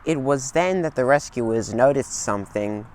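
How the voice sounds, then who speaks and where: A man narrating a railway storybook as a scripted read, in a slow, gentle storytelling manner. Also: medium pitch, expressive.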